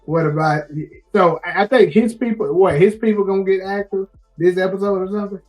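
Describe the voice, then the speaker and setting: A man co-hosting a casual TV show discussion, relaxed and quick to laugh, reading out viewer comments. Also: high-pitched, poor audio. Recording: faint music behind.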